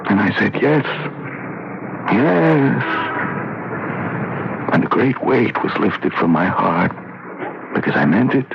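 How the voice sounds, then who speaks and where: Slow, gravelly, tormented soul. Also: male voice, lowish voice, big pitch swings, full character voice. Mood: haunted, reverent. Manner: halting, weary, pausing mid-sentence. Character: tormented man who claims a robe's spirit possessed him. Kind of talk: 1970s radio drama acting. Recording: muffled noisy vintage radio broadcast.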